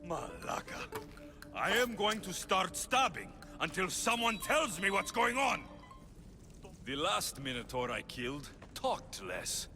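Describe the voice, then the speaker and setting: An actor playing a wry, confident, battle-hardened mercenary in a video game, with dry sardonic delivery. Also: male voice, sits high, very animated, slow, music in the background, exaggerated voice. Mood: irritated, demanding. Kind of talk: video game character acting.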